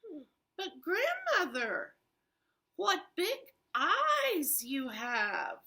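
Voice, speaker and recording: Woman doing a timid storybook girl, wide-eyed, breathy, slow, high bright voice. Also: huge pitch swings, heavily acted. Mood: wary.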